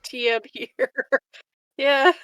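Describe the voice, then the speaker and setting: A woman's voice: high-pitched, fairly even intonation, extremely slow, a bit bright, poor audio. A woman playing a tabletop roleplaying game, improvising casual banter in a sweary, exasperated, giggly way.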